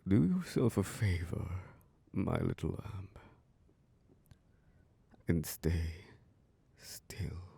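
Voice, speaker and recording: A hungry, predatory vampire lord, as a man plays him for an ASMR roleplay, his voice very deep and raspy with a gravelly edge. He draws every word out at an extremely slow pace, sinking into close, dry whispers right at the microphone. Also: very animated, full character voice. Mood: hungry, menacing. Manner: slow, hushed, drifting into whispers. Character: obsessive, predatory male vampire lord, charming turning dominant.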